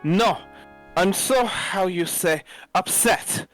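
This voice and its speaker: A young French maid played by a man in an amateur audio drama, anxious and gossipy. The words come slowly and carefully in a put-on French accent, pitched a little high for a man, with music behind. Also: fairly bright tone, poor audio. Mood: upset.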